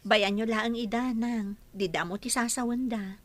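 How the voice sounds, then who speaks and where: Radio drama daughter, played by a woman: young, married, gentle, placating manner, slightly high register. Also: steady tone, normal speed, dry recording, natural voice. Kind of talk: Ilocano radio drama acting.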